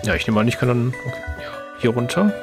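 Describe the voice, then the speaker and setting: A man's voice: mid-range voice, expressive, quick pace, music in the background. A man giving casual commentary as the host of a let's play.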